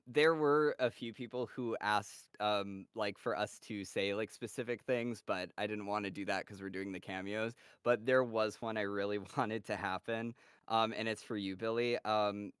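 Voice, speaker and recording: A man hosting a live-stream Q&A with a voice cast, reading out fan questions in a relaxed, upbeat way. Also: on the low side, smooth, no room sound, clean studio sound. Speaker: moderator of a voice cast Q&A.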